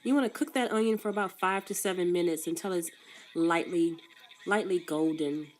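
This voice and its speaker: A woman's voice: fairly low pitch, normal speed, a little hiss or hum. A woman with a cold, sounding congested and coughing now and then as she walks through a recipe step by step in a casual cooking demonstration. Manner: plain step-by-step instructions with frequent coughs. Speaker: cook. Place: food truck kitchen.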